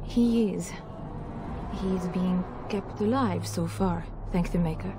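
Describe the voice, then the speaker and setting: Anxious, pleading noblewoman. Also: fairly low pitch. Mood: fearful, pleading. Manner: halting, formal, imploring. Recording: music behind the voice.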